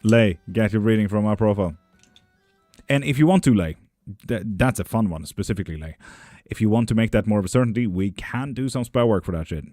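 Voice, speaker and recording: A man's voice: on the low side, expressive, a little fast, mellow, no echo, clean studio sound, soft background music. A young man streaming tarot readings and answering his chat in a loose, joking way, with plenty of swearing. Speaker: tarot reader and witchcraft streamer. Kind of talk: casual livestream chat, reading out viewer messages.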